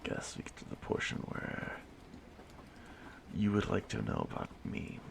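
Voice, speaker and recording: A man playing an ancient immortal stalker in an audio roleplay, softly menacing yet courteous and wistful, musing haltingly in a gravelly murmur that often drops to a whisper. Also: fairly low pitch, wildly swooping pitch, background noise, heavily acted. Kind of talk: slow intimate audio roleplay monologue.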